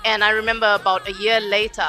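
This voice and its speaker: A young Zimbabwean woman, her accent smoothed toward American, talks candidly and with feeling in a radio studio. Her tone is bright and forward, her pitch sits in the middle, and she slips into other voices to act out what people said. Faint music plays under the talk. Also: fast talker, low-quality recording. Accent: Zimbabwean, toned toward American. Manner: animated, acts out quotes in other voices. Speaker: guest singer. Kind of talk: candid conversational storytelling.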